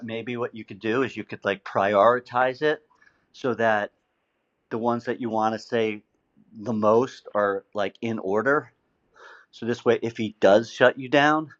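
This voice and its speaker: A man with a fairly low voice talks on a slightly muffled phone recording. His delivery is informal and halting, like everyday conversational advice. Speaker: legal adviser on a phone call. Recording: dull, band-limited phone call recording.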